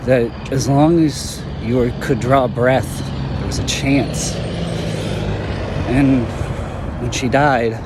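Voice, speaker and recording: A young man voicing an earnest former hero in an audio drama, talking in a reflective, halting way. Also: mid-range voice, steady tone, heavy background noise, lightly acted.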